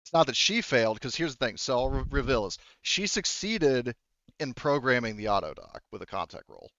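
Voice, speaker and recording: A man running a tabletop roleplaying game as game master, improvising narration and explaining rules in a halting way, thinking aloud. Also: mid-range voice, very animated, creaky, a bit bright, no room sound, dull audio.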